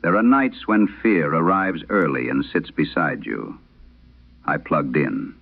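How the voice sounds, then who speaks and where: Very deep-voiced man narrating vintage radio horror. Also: husky, dry recording, phone-quality sound. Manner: solemn, measured, literary delivery. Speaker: horror audio drama host reading letters. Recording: band-limited, dry vintage radio sound.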